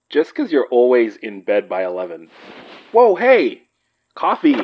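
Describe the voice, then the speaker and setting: A man's voice: mid-range voice, wildly swooping pitch, slow pace, breathy edge, dull audio, exaggerated voice. An actor playing a baffled, sarcastic young everyman with an incredulous way of talking, in a naturalistic audio drama. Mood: bantering. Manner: incredulous, wisecracking.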